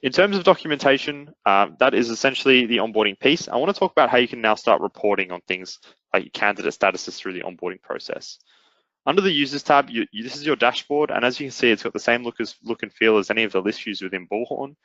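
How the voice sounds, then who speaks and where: A man presenting a software product in a webinar, explaining it step by step in an instructional way. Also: medium pitch, lively intonation, fast talker, dry recording, muffled recording. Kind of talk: explanatory webinar demo narration.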